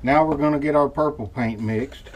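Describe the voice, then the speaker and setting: A middle-aged man talks his way through a job at a workshop bench in a laid-back, folksy manner. His voice sits at an ordinary pitch. The recording picks up steady workshop noise and airbrush spray in the background. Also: moderate pace, rough recording. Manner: folksy, relaxed running commentary while working. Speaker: lure painter demonstrating. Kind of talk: casual how-to demonstration.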